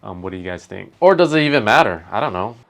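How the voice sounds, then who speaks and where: Guy with a lowish voice doing a casual reaction vid, thinking out loud, talking to the viewers. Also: big pitch swings, quick pace, creaky. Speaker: reaction video host, street photographer. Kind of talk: casual reaction commentary with advice.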